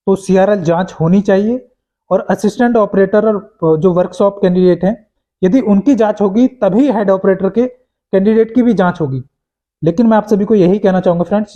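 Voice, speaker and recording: A high-voiced man gives an informal news update, addressing viewers directly. His tone is soft-edged and mellow, and he circles back over his points with plenty of English words mixed in. The recording is dull and lo-fi. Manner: repetitive, mixes in many English words. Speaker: host of exam news updates for job candidates. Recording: dull, muffled lo-fi sound.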